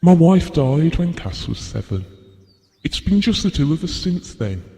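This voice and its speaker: An actor playing a gentle, shy, middle-aged widowed father in an audio play, wistful and evasive. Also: fairly high pitch, sing-song, measured pace. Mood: reflective. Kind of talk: short audio play dialogue. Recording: long hall-like reverb.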